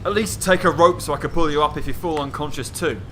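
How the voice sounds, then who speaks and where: A man's voice: fairly high pitch, quick pace, bright, forceful tone, soft background music. A man acting an anxious, cautious workman in an audio drama, urgent and pleading. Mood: worried. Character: anxious, cautious male mill worker pleading for patience.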